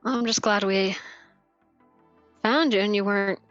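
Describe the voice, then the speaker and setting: Woman, player, relaxed and quick, dull dry mic, faint music. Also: medium pitch, no echo. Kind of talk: casual in-character play.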